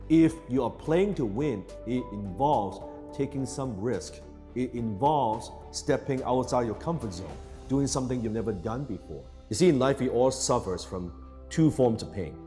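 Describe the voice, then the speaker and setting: A man with a low voice delivers an emphatic motivational speech in punchy bursts. His voice rings with a long hall reverb, and clear music plays underneath. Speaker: motivational speaker.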